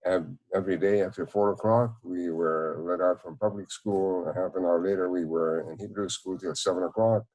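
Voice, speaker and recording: A man in his nineties with a Hungarian accent, recounting memories in an interview in a measured, halting way. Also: deep voice, steady tone, darkish tone, rough recording. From Czechoslovakia. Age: 90s. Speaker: Holocaust survivor, interviewee. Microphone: video call.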